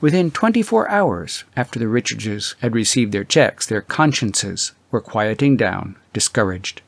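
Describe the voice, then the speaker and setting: A man's voice: fairly low pitch, lively intonation, measured pace, gravelly, no room sound. Man narrating an audiobook, dry sound. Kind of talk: scripted literary narration.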